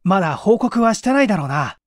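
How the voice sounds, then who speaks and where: A man voicing a brash, confident, impatient inventor in a storybook reading, brusque, hurried and assured. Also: high-pitched, very animated, no echo, clean studio sound. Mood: urgent.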